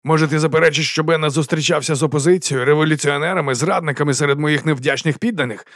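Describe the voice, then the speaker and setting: A man's voice: a little high, quick pace, a bit bright, no room sound, clean studio sound, voice pushed up, close to an everyday voice. A man voicing a betrayed, imperious older grand duke in an audiobook, speaking with emphatic, commanding accusation. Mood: accusing, wounded.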